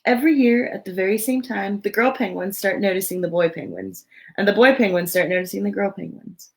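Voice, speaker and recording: A woman with a noticeably creaky, mid-pitched voice reads a children's picture book aloud. She speaks simply and emphatically for young listeners, in a storytime style that slips easily into casual chat. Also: a little fast.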